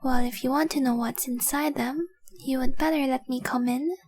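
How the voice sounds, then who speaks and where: A woman voicing an adoring, possessive dragon-blooded girlfriend in an intimate audio roleplay, tender and breathy, often slipping into a whisper. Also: high-pitched, steady tone, moderate pace, a little mellow, lightly acted. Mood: earnest, warm. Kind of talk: intimate romantic audio roleplay, addressed to the listener.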